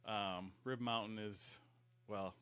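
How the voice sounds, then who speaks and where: A man's voice: on the low side, fairly even intonation, slow, fairly bright tone, smooth, dry recording. A man from Michigan's Upper Peninsula, a fire service educator, talking in a folksy, rambling, self-deprecating way. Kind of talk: casual interview.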